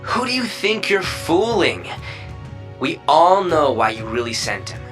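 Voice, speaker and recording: An actor playing an irreverent young schemer in a video game, casual and sarcastic. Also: male voice, on the high side, very animated, unhurried, fairly bright tone, soft background music. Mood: sardonic. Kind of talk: English video game dub acting.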